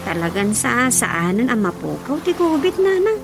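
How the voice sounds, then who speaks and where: A woman acting a gossipy, expressive village woman with an Ilocano accent in a radio drama comedy. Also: on the high side, moderate pace. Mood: chatty, concerned. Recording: music bed behind the voice.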